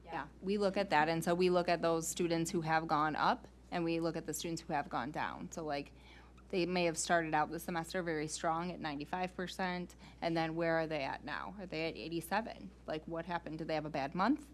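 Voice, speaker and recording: Female school staffer, slightly low register, explaining earnestly at a meeting. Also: fairly quick, a little hiss or hum. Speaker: school staff member presenting to a committee. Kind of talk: informal explanatory meeting presentation.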